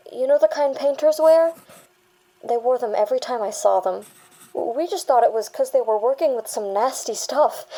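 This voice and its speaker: A woman acts out a young female bank worker in an audio drama, giving a witness statement. The voice is fairly high and soft-edged, a little dark in tone. The delivery is halting and stammering, yet defensive and insistent. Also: rough recording. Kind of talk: dramatic audio fiction acting.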